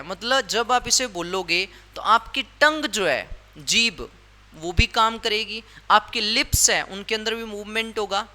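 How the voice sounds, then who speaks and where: A man's voice: sits high, pushed and bright. A male English grammar teacher explaining a lesson step by step, repeating his points and often asking the class questions.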